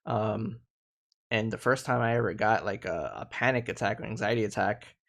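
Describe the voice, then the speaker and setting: A man's voice: on the low side, moderate pace, vocal fry, fairly bright tone. A man in his thirties hosting a casual live panel discussion, earnest and reflective, often restarting his sentences. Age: 30s.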